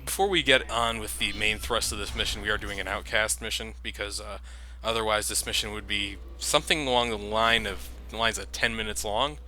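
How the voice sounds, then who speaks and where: A man's voice: on the low side, a little fast, sharp tone. A man hosting a video game playthrough with casual, rambling, conversational commentary. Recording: clearly noisy background.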